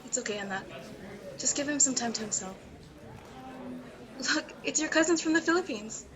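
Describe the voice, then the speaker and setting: A woman playing a grieving middle-aged mother in an amateur online drama, speaking in a measured, soft way. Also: high voice, animated, a crowd in the background. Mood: gentle.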